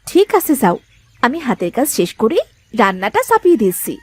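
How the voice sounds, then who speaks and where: A woman playing a caring, teasing fisherman's wife in a cartoon fairy tale, expressive and chiding yet affectionate. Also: on the high side, fairly quick, breathy edge, no room sound. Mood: attentive.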